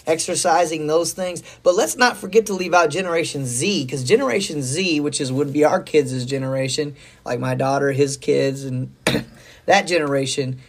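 A hoarse middle-aged man, a pastor co-hosting a podcast, talking in a rambling, folksy and enthusiastic way about faith and church news. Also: a little high, normal speed. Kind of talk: casual faith talk.

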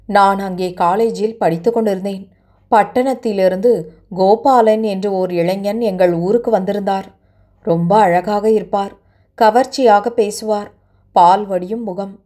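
A woman's voice: mid-range voice, mellow, natural voice. A woman voicing a grieving young woman. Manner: sorrowful, pleading. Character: distraught young woman, weeping and remorseful.

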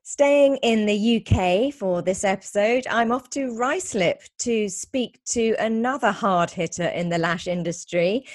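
A woman's voice: mid-range voice, a little slow, hardly any pauses, no room sound. Dry, encouraging woman podcast host. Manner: chatty, encouraging interviewer. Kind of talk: podcast interview.

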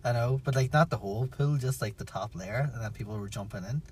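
A man's voice: mid-range voice, quick pace, a little mellow, slightly rough. A man co-hosting a casual podcast, teasing his friend and laughing often. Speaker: podcast co-host.

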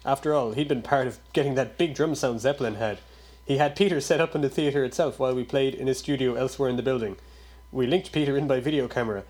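Man, husky voice, casual co-host, blunt and quick to laugh. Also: mid-range voice, darkish tone. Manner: laughs often, blunt remarks. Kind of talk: casual chat, reading quotes aloud.

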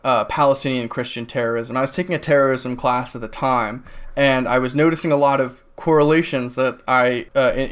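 A man co-hosting a political talk show, speaking in a loose, rambling way full of fillers and restarts. His voice is clean and resonant, at an ordinary pitch for a man. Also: a bit bright, smooth. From New England, United States. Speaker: podcast co-host. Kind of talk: casual political discussion.

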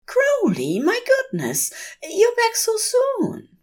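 Audio story role: fussy, gentle male angel , done by a woman, high-pitched, prim and eager to please. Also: wildly swooping pitch, slow, exaggerated voice. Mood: delighted. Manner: prim, fluttery, anxious to please.

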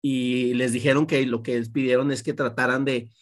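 A man's voice: medium pitch, flat delivery, normal speed. A man in a casual film chat, explaining at length with trailing fillers.

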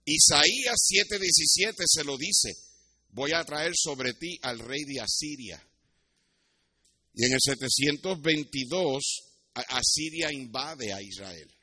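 A man's voice: a little high, takes it slowly, pushed and bright, husky. A man with a Puerto Rican accent preaching as a pastor, teaching scripture and telling personal stories in a didactic way, with occasional asides in English. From Puerto Rico. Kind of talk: sermon with Bible teaching and personal anecdotes.

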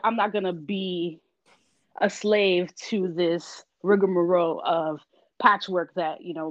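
A woman from Miami, Florida, a guest talking casually about her vegan business, relaxed and filler-heavy. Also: on the low side, dry recording. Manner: relaxed, frequent ums and laughs. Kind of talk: casual interview chat. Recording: dry, dull lo-fi audio.

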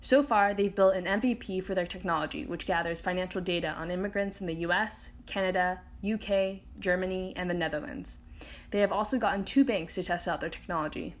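A woman's voice: lowish voice, a little fast. A woman in her twenties, host of a podcast, reading a scripted intro and interviewing a guest in an upbeat way. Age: 20s.

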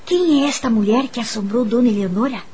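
A woman's voice: fairly high pitch, brisk, mellow, husky. A woman playing a curious, uneasy young teacher in a Brazilian radio drama, narrating her own story expressively. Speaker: lead actress and narrator.

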